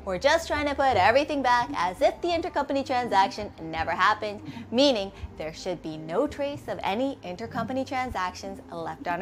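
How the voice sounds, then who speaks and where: A woman's voice: high voice, a bit bright. A woman instructor narrating a scripted lesson step by step, methodically, posing questions and answering them herself. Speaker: accounting instructor.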